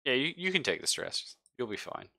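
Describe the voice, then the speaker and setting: A man's voice: mid-range voice, big pitch swings, brisk, creaky, pushed and bright. A man running a tabletop role-playing game as game master, casual and sweary, teasing the players as he narrates.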